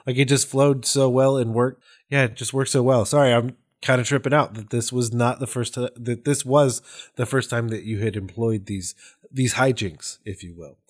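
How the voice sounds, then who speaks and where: A man's voice: mid-range voice, steady tone, moderate pace. A man hosting a casual podcast interview, talking in an effusive, somewhat rambling way.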